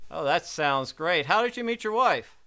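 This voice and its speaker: A man's voice: on the high side, expressive, moderate pace, bright, forceful tone. A man hosting in a folksy, old-time radio announcer style, interviewing and presenting the show.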